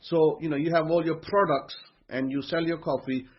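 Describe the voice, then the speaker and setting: Male teacher, husky and a bit high-pitched, repetitive and emphatic, dull lo-fi sound. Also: steady tone, normal speed. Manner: emphatic, repeats phrases while building a point. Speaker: religious teacher. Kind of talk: motivational religious talk. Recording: dull lo-fi recording.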